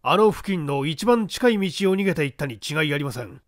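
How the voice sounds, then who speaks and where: A man voicing a cunning gentleman thief in an audiobook, speaking politely and assertively while posing as a victim. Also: on the high side, expressive, a little fast, dry recording, studio quality, lightly acted. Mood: urgent, assured. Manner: polite, smooth-talking, assertive. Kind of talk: audiobook character acting.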